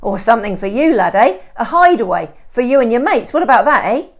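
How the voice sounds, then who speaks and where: Storybook estate agent, man played by a woman reader, chummy, pushy sales patter, lifted pitch. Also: very animated, fairly quick. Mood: chummy, coaxing. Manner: salesman-like, coaxing.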